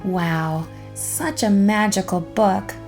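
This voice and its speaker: A woman narrating a children's storybook aloud in an expressive, careful storytelling manner. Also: mid-range voice, slow, soft background music.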